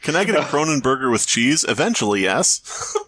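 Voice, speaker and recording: A man's voice: mid-range voice, sing-song, brisk, bright, forceful tone, slight background noise, poor audio. A man co-hosting a podcast with irreverent, giggly, playful quips in casual comedic banter. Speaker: podcast co-host.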